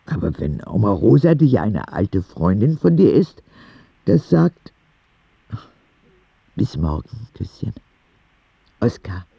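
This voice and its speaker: A man's voice: on the low side, sing-song, takes it slowly, creaky, long pauses, slightly rough, no echo, dull audio, exaggerated voice. A man playing a frank young boy in a radio drama, narrating his letters in an earnest, matter-of-fact way. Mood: frank, content. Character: frank, childlike ten-year-old boy writing letters to God.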